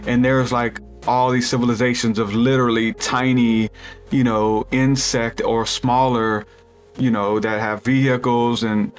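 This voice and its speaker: A man with a deep voice hosting a podcast, rambling through a spiritual theory in casual chat with lots of restarts. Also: little pitch movement, measured pace, clean tone, soft background music. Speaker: podcast host.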